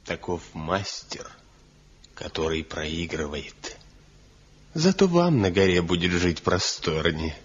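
A man's voice: sits low, sing-song, takes it slowly, husky, full character voice. An actor playing a bitter, haggard, jealous master craftsman in a 1970s radio play, sullen and sarcastic. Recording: dull lo-fi old radio recording.

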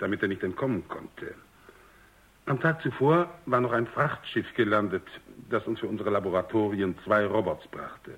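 A man's voice: lowish voice, lightly acted. A man playing a courteous general who explains things formally and carefully in a German radio drama, on a dull lo-fi recording. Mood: explaining carefully. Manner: formal, polite, measured. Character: courteous male general commanding a research base, explaining himself.

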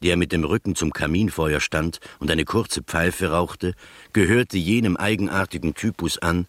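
A man playing a composed gentleman narrator who recounts his own adventure in scripted, literary radio-drama narration. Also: low voice, normal speed, no room sound. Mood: composed, descriptive. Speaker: first-person narrator of a radio play.

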